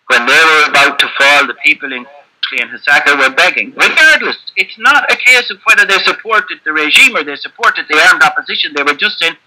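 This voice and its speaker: A man making an earnest, emphatic case as a panelist in an online debate, slowing down to stress his points over a video call. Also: fairly high pitch, sharp tone, low-quality recording.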